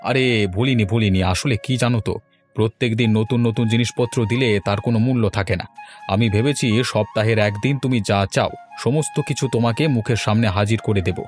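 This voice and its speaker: Casting: male actor as cheerful well-off cartoon husband, rapid, talkative, coaxing and self-satisfied. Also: mid-range voice, fairly even intonation, darkish tone, faint music behind, natural voice. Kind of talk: Bengali cartoon dialogue.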